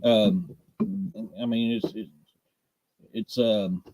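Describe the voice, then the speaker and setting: A man's voice: on the low side, steady tone, very slow, mellow, dry recording, soft background music, poor audio. An American man in middle age chatting casually on a podcast, with a blunt, direct, folksy manner. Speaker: podcast co-host. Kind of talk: casual live podcast chat.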